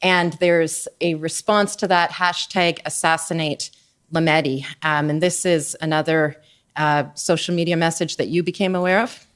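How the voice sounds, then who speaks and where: A woman with a somewhat low voice examines a witness at an inquiry. She is methodical, reading out document references slowly with frequent fillers. Recorded on a desk microphone in a hearing room. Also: steady tone, smooth. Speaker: lawyer questioning a witness. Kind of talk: formal examination at a public inquiry.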